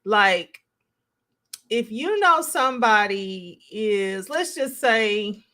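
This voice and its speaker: A woman's voice: medium pitch, animated, drawn-out words, pushed and bright. A woman hosting a live stream, giving casual, conversational reaction commentary as she thinks aloud and responds to chat.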